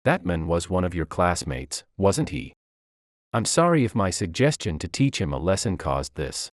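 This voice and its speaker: A man voicing a gentle, hesitant, apologetic woman in a narrated story. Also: low-pitched, big pitch swings, moderate pace, no echo, clean studio sound.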